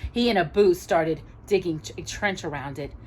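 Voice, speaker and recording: A woman reading a children's story aloud, expressively, with dramatic, measured phrasing and pauses. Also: fairly low pitch, slight background noise. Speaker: storytime reader.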